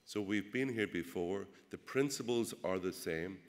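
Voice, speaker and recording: Derry man speaking in a reverberant hall. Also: sits low. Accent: Derry, Northern Irish. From Northern Ireland. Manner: rousing, rhetorical questions, frequent "uh" pauses. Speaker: political speaker. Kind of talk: public speech at a rally. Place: large event hall. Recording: echoey hall with long reverb.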